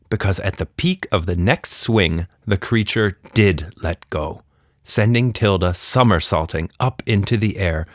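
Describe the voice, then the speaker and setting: A man tells a children's story with very animated, expressive pitch. He takes his time over the scripted lines, and the recording is close and dry. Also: dry recording. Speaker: storyteller and podcast host.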